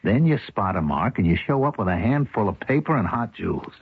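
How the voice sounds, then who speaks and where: An actor playing a gruff, domineering male con artist with condescending, slangy tough-guy talk in a radio drama. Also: lowish voice, very animated, a little fast, no room sound, full character voice. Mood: angry, scolding. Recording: muffled, phone-like old radio recording.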